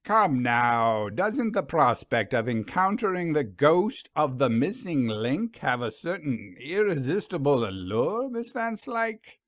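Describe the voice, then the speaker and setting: A man's voice: on the high side, very animated, takes it slowly, hardly any pauses, a bit bright, dry recording, clean studio sound, pitched above the performer's own voice, exaggerated voice. A man voicing a courteous, polished professor with an English accent in a storybook reading. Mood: coaxing.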